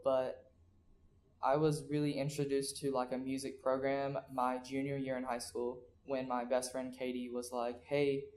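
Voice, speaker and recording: A man's voice: mid-range voice, darkish tone. Casual American teen guy, noisy background. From North Carolina, USA. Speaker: interviewee, choir singer. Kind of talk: casual interview storytelling. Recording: noisy room ambience.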